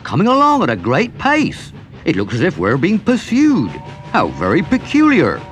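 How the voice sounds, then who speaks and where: A man's voice: sits high, wildly swooping pitch, moderate pace, a little hiss or hum. A man voicing a kindly but stern middle-aged engine driver, bluff and hearty. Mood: puzzled.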